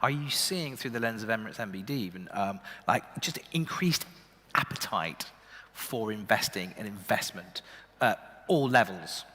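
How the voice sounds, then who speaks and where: Bright-voiced male panel moderator. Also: lowish voice, animated, normal speed. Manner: questions with restarts and fillers. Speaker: event moderator. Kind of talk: on-stage panel interview questions. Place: event stage in a large hall. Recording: long hall reverb.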